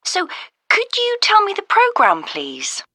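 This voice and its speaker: A friendly caller asking about a holiday outing in a scripted dialogue. Her voice is bright and very animated, polite and keen. The recording is dry and close. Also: extremely high pitch, measured pace, no echo, exaggerated voice. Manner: polite, enthusiastic. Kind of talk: scripted listening-test phone dialogue.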